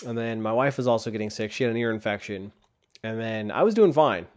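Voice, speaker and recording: A man's voice: fairly low pitch, lively intonation, brisk. Man podcaster with a sore, tired throat; casual rambling host delivery into a desk mic. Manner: rambling with frequent restarts. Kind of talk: casual solo podcast talk. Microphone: desk microphone.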